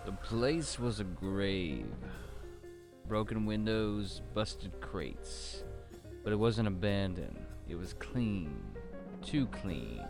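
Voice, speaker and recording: A weary hard-boiled detective acted by a man narrates a noir mystery in a low, gravelly voice over music. He takes his time, brooding over short, clipped phrases. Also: animated, full character voice. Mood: tense, brooding. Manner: brooding, terse, deliberate. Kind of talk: noir audio drama narration. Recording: music under the voice.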